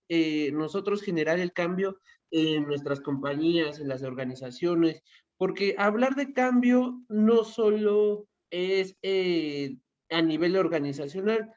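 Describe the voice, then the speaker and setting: A man's voice: high voice, darkish tone. A man hosting an informal online class and inviting participants to share, often repeating words as he goes. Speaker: masterclass host. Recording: dull, muffled online call audio.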